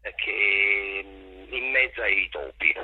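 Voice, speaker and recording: A raspy-voiced man explaining his work reflectively as a theatre director in an interview, halting and repeating phrases as he searches for words. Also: fairly low pitch, dry recording. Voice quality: gravelly, raspy. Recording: dull lo-fi radio or telephone sound.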